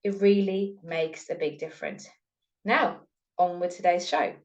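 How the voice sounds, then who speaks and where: A woman's voice: on the low side, fairly even intonation, unhurried, a little mellow. Casting: female podcast host, Norwegian accent, at ease with both scripted intros and chat.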